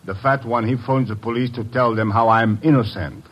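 A man's voice: mid-range voice, steady tone, fairly quick, natural voice. A man acting a proud, simple foreigner in a 1950s radio drama, speaking blunt broken English. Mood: calm, satisfied. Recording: dull, lo-fi old radio recording.

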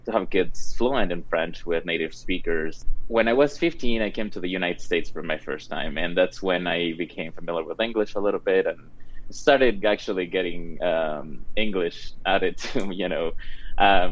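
A man's voice: deep voice, normal speed, muffled recording. A young man from Ecuador, a translator and interpreter, speaking English with a Spanish accent and hesitating often with ums and restarts. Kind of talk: casual interview talk.

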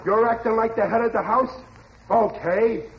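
A man's voice: sits high, fairly even intonation, normal speed, crowd noise, natural voice. An actor playing a blustering, stubborn, bumbling father in the exasperated style of 1940s radio comedy. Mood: indignant. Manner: exasperated, blustery, muddled sayings. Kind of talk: 1940s radio sitcom acting. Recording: dull, noisy old radio recording.

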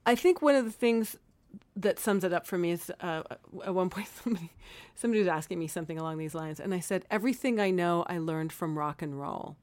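Woman guest from Miami, low-ish voice, chatty and rambling, dry studio sound. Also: fast talker, darkish tone, dry recording. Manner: chatty, rambling, frequent ums. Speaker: guest artist. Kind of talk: casual interview chat. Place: radio studio. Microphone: shared microphone.

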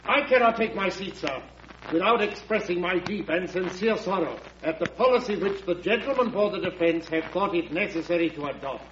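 Righteous courtroom prosecutor, high voice, oratorical, emphatic, echoey, dull old radio recording. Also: male voice, expressive, brisk, fairly bright tone, slight background noise. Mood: indignant. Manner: oratorical, emphatic, stiffly formal. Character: indignant, formal government prosecutor in court. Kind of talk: classic radio drama acting.

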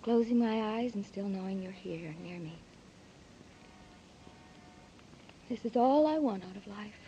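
An actress playing a devoted young woman in love, speaking in breathy, intimate whispers and anxious pleas in a 1940s radio drama. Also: medium pitch, normal speed, mellow, a little hiss or hum, close to an everyday voice. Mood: tender. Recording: dull lo-fi 1940s radio broadcast.

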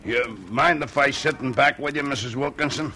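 An actor playing a slow-witted, beaten American prize fighter and small-time hoodlum in an old-time radio drama. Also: male voice, mid-range voice, pushed and bright, a little hiss or hum, lightly acted. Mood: dim, bashful.

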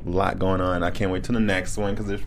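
A man co-hosting a casual podcast, laughing often and telling things in an animated way. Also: low-pitched, quick pace. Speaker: podcast co-host. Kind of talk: casual chat.

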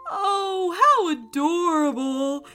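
A woman voicing a cheery, bubbly female triceratops who giggles and talks loudly. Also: extremely high pitch, lively intonation, slow, soft background music, voice pushed up, full character voice. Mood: delighted.

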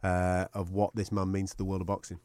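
A man's voice: low-pitched, monotone, a little fast, darkish tone, no echo, studio quality. A man hosting a boxing podcast in casual chat, chatty and swearing freely.

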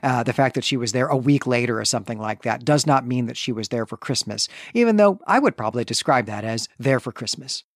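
A man's voice: medium pitch, animated, quick pace, few pauses, dry recording, studio quality. A man hosting a conversational book discussion, explaining his reasoning at length in an expository way. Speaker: podcast host. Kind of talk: conversational literary recap and discussion.

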